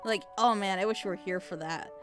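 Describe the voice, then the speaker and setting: A woman's voice: mid-range voice, brisk, music in the background. A woman hosting a casual reaction session with friends, rambling along in a giggly way. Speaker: host of a group reaction show.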